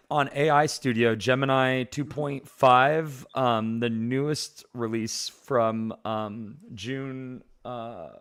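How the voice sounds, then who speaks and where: A man's voice: mid-range voice, a bit bright, smooth. A man co-hosting a crypto livestream, chatting casually with a rambling delivery full of fillers that often trails off. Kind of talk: casual chat.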